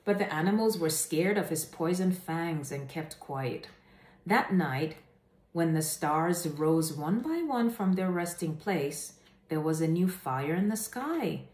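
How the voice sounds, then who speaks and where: Echoey, lower-pitched woman reading aloud. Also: expressive, takes it slowly, darkish tone. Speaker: host reading children's stories aloud. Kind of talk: animated read-aloud storytelling to camera. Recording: echoey room.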